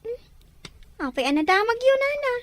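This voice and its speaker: A woman's voice: very high voice, big pitch swings, measured pace, slight background noise, exaggerated voice. A woman playing a young Filipino student daughter in a radio drama, pleading plaintively with an Ilocano accent.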